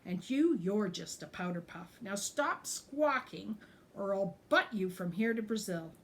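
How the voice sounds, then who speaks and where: A woman voicing a boastful, bullying male billy goat who gloats loudly and slowly in a storybook reading. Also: mid-range voice, animated, slight background noise. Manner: loud, slow, gloating chant.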